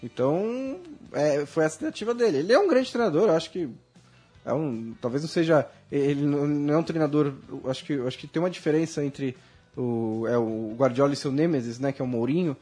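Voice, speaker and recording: A man from Brazil talking football in a casual debate, animated and full of restarts. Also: fairly high pitch, slightly rough, soft background music. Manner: fast, animated, many restarts. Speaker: football pundit.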